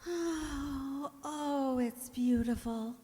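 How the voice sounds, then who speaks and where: A woman's voice: high-pitched, drawn-out words, warm tone. A woman playing an emotional young girl in an old-time radio drama, full of sighs and exclamations. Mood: wistful. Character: dreamy, then frantic young girl named Helen. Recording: long hall reverb.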